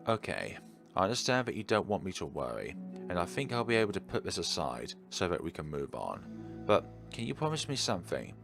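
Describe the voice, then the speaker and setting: A man voicing an earnest teenage girl club president in a visual novel, anxious and quick to blame herself. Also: low-pitched, huge pitch swings, vocal fry, over music, full character voice. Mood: apologetic, resolute. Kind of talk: visual novel character read-aloud.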